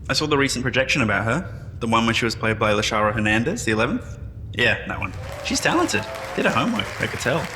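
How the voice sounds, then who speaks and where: A man's voice: mid-range voice, background noise, close to an everyday voice. An actor playing a wry, modest man revived from cryostasis, speaking in a reflective, conversational way in a sci-fi audio drama. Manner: self-deprecating, reflective, conversational. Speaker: interviewee at a press appearance. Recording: long hall reverb, music behind.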